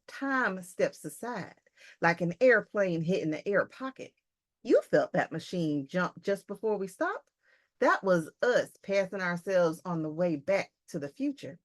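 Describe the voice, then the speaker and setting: A woman reading a storybook part as a stern, assured male time-travel guide, explaining things deliberately. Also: lowish voice.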